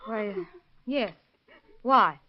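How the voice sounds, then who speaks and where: A woman's voice: high voice, sing-song, drawn-out words, gravelly, heavily acted. Guarded, tearless young mother, muffled recording. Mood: guarded, subdued. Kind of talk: 1930s radio acting. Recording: muffled vintage radio recording.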